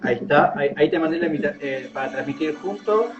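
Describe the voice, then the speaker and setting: A man's voice: on the high side, fast talker, dull audio, noisy background. A man hosting a casual live-stream interview, chatty and rambling, often repeating his words. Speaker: host. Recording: loud music behind.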